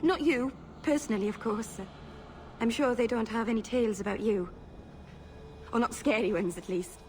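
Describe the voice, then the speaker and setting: A woman's voice: a little high, moderate pace, a little hiss or hum, close to an everyday voice. A woman acting a nervous, rambling young elf mage. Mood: flustered, apologetic. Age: young adult. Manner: hesitant, apologetic, self-interrupting babble. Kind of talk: video game voice acting.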